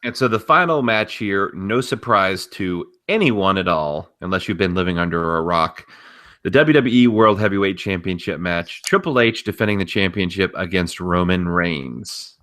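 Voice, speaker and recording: A man's voice: low-pitched, expressive, moderate pace, a bit bright. A man co-hosting a casual wrestling news podcast, blunt, opinionated and freely profane. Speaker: podcast co-host.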